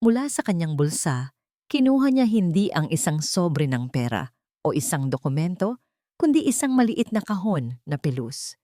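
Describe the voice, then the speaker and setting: A woman narrating a scripted romance story in a deliberate, sentimental way with dramatic pauses. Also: on the low side, very animated, warm tone, no room sound, studio quality.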